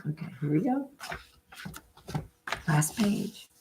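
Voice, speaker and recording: A middle-aged woman in a gentle read-aloud style with casual, chatty asides and a halting, unhurried manner. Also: on the low side, very animated, background noise. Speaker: spiritual healer reading a picture book aloud.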